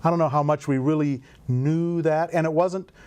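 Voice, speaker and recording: A man's voice: a little high, steady tone, moderate pace, darkish tone, clean tone. A middle-aged man who directs a volunteer program, answering interview questions in a thoughtful, rambling way with many fillers. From grew up in Indonesia and Indiana. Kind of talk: conversational interview.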